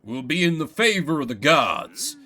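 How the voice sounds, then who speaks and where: A man's voice: fairly high pitch, very animated, moderate pace, pushed and bright, full character voice. A man voicing an earnest peasant in a fantasy game, solemnly petitioning his ruler. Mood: earnest pleading. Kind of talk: acted narrative game dialogue.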